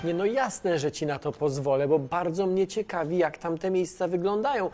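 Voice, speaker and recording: A woman's voice: lowish voice, normal speed, music in the background, lightly acted. A performer voicing a curious, good-natured young man at a flight control center in a children's educational cartoon, easygoing and a little clueless. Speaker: flight control operator character.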